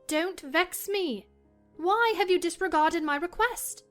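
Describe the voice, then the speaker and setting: A woman's voice: extremely high pitch, slow, soft background music, exaggerated voice. A woman playing an imperious, willful young lady with a haughty, commanding manner in a dramatized classic novel. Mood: demanding. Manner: commanding, haughty, sharp-tongued.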